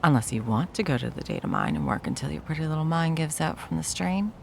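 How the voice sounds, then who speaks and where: A woman playing a possessive, coldly menacing yet cloyingly sweet corporate ex-girlfriend in an intimate ASMR roleplay, taunting with drawn-out endearments and breathy whispers. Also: sits low, huge pitch swings, a little mellow, a little hiss or hum, heavily acted. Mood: gloating, possessive.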